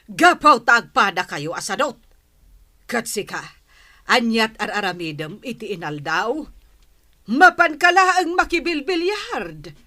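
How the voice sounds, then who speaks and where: A woman's voice: fairly high pitch, sing-song, measured pace, sharp tone, dry recording, clean studio sound, full character voice. A woman acting a stern, nagging middle-aged mother in a radio drama, with an Ilocano accent. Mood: scolding.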